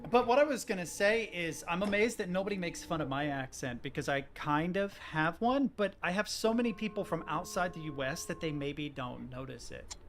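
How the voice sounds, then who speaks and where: A man's voice: on the high side, animated, fairly quick, hardly any pauses, a bit bright, slight background noise. An American man streaming a game, talking in a casual, rambling way, laughing and trailing off mid-sentence. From United States. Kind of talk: casual gaming chat.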